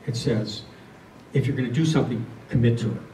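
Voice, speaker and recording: Mellow man speaking in a roomy space. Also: medium pitch, steady tone. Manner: earnest, deliberate, with repeated phrases. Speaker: spiritual speaker. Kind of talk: reflective spiritual talk to a group. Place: room with a listening group. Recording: roomy, distant mic, faint noise.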